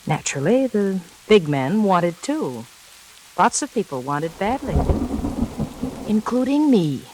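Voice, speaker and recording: An actress playing a cool, seductive schemer in a 1940s radio thriller, smooth-talking and coaxing. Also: female voice, mid-range voice, sing-song, slow pace. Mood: confiding, seductive. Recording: hissy 1940s radio recording, rain noise.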